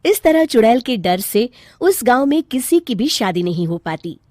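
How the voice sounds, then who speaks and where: A woman's voice: on the high side, big pitch swings, moderate pace, darkish tone, no room sound, clean studio sound. A woman narrating a scripted children's story as the storyteller.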